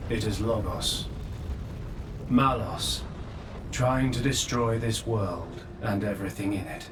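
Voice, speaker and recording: An actor playing an ancient, remorseful creator figure who speaks slowly and gravely. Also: male voice, lowish voice, noisy background. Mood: explaining. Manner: slow, grave, measured. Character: ancient godlike male creator, solemn and remorseful. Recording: echoey far-off voice with hall reverb and music.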